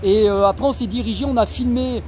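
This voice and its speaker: A man's voice: high voice, steady tone, a little fast. A man from south-east France with a southern French accent, a guest chatting in a rambling, hesitant way while riding outdoors in city traffic. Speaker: guest, motorcycle YouTuber. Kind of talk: casual chat while riding. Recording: loud street traffic noise.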